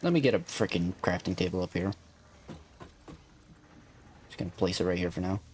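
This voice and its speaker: A man's voice: deep voice, brisk, a little mellow. A man with a soothing voice chatting casually and thinking aloud as he plays a game on a live stream, in a laid-back, rambling way. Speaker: live streamer playing a factory-building game. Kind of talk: casual live-stream chat and gameplay commentary. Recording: clear background noise behind the voice.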